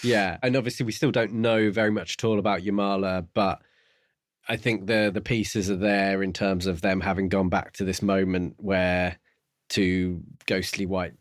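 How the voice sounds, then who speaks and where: A man's voice: low-pitched, flat delivery, normal speed, dry recording. A man co-hosting a podcast, casually talking through a novel in an explanatory, tentative way. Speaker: book podcast co-host.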